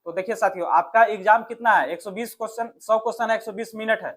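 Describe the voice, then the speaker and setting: A man's voice: high voice, fast talker, a bit bright. A man with a Bihar accent coaching informally for an exam, addressing listeners familiarly and often answering his own questions. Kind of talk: informal exam strategy lesson.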